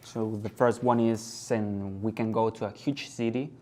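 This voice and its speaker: An eighteen-year-old man from Venezuela, speaking haltingly as he searches for words during an English exam. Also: fairly low pitch, steady tone, mellow. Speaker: test candidate. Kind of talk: exam conversation.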